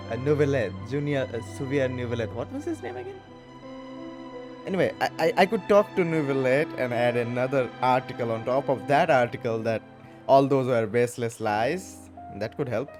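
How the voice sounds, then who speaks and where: A man's voice: mid-range voice, lively intonation, moderate pace, over music. A man doing casual gameplay commentary, stumbling over words and tossing in jokey asides. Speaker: gamer reacting to a game.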